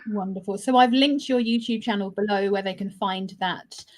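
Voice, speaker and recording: A woman's voice: medium pitch, moderate pace, a little mellow. A woman with a British accent hosting a live crochet stream, chatty and effusive, on a video call. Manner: chatty and effusive, with fillers and laughs. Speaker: host of a live crochet show. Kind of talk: casual live-stream chat.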